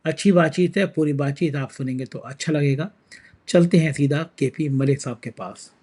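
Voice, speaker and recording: A man's voice: on the high side, normal speed, dark tone, slightly rough. A man hosting a political news talk show, talking with a sore throat in a conversational, emphatic way.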